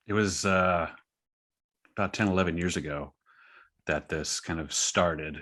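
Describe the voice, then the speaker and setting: Male interview guest, very low register, forward bright tone, casual and halting delivery. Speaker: guest, creator of a horror thriller story.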